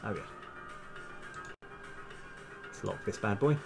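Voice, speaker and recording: A man's voice: lowish voice, takes it slowly, creaky, music in the background. A man doing casual live-stream commentary as a game developer, thinking aloud in a hesitant, self-correcting way.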